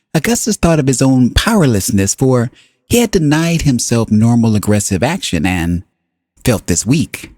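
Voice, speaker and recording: A man reading a book aloud as scripted narration, with measured, deliberate phrasing and pauses. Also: lowish voice, a little mellow, no room sound, clean studio sound. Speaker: audiobook reader.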